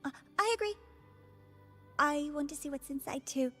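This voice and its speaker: Very high-pitched earnest student girl. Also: moderate pace, fairly bright tone, in a large hall, soft background music. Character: earnest, eager young girl student explorer. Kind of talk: video game voice acting.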